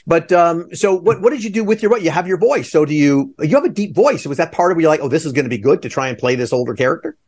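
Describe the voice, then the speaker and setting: A middle-aged man hosting a podcast interview, chatting casually in an animated, rambling way. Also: fairly high pitch, quick pace, few pauses, breathy edge. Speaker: podcast host interviewing theater guests. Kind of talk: casual chat.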